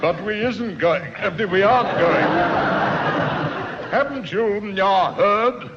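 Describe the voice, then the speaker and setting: An actor playing a fussy, officious concert organiser in a radio sitcom, pedantic and pompous with hesitant hums. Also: male voice, sits high, slow, a loud crowd, very noisy. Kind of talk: 1960s radio sitcom acting. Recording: muffled 1960s radio recording, echoey hall.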